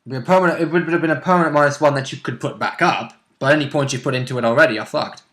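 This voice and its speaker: A man's voice: on the high side, very fast, a bit bright. A man who plays in a tabletop roleplay game, chatting casually in a blunt, jokey, sweary manner. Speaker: tabletop roleplay player and co-host. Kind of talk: casual chat.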